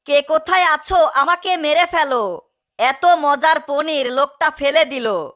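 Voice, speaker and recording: A woman voicing a small, hungry cartoon mouse, self-pitying and indignant, in a melodramatic comedy dub. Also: a little slow. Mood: wailing, despairing. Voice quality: high, bright. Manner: plaintive, melodramatic, scolding. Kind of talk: fan-dubbed cartoon comedy.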